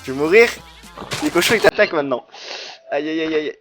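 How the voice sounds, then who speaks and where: A young man chatting casually and excitedly while playing a video game with a friend. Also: fairly high pitch, brisk, faint music behind. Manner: lively, jokey, bursts into loud exclamations. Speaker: Minecraft let's player, channel host. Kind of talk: casual gaming chat with a friend.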